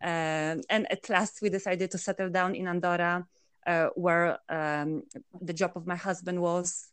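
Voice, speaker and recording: Interview guest: woman from Poland, crisp clear tone, hesitant and filler-heavy. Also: on the low side, fairly even intonation, unhurried, no echo. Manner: hesitant, many fillers and restarts. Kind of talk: conversational interview answers.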